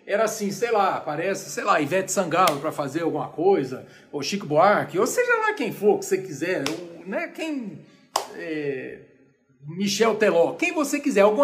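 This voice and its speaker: A man with a Brazilian Portuguese accent giving an informal, chatty lecture on an operetta, animated and full of restarts and asides. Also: high-pitched. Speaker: lecturer on opera.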